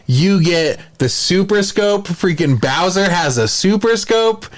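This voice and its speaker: A man's voice: high voice, expressive, slow. A man hosting a podcast, chatting casually about films in an enthusiastic, rambling way. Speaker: podcast host.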